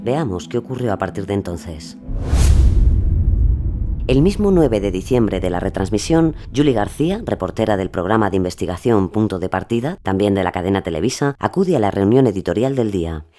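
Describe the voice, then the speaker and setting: A man narrating a scripted documentary podcast with measured storytelling. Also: lowish voice, lively intonation, a little mellow. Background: faint music.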